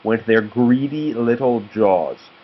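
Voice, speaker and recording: A man's voice: medium pitch, slow, slight background noise. Man reading aloud, dull home recording. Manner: patient, stops to explain words and answer questions. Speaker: father reading a picture book to his children. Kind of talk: read-aloud storytelling with asides to children.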